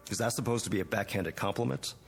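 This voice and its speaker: A man's voice: lowish voice, fast talker, creaky, faint music behind. An actor playing a proud, defensive working man, earnest and curt, in a vintage TV drama. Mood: tense, defensive. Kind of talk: 1960s television drama acting. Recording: reverberant vintage TV soundtrack.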